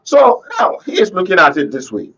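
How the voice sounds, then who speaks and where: A man's voice: sits high, wildly swooping pitch, moderate pace, a bit bright, muffled recording. A man with a Nigerian accent giving emphatic, rhetorical political commentary as a panel guest on a talk show, often checking that listeners follow. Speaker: panel guest, conflict reporter. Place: radio and TV studio discussion.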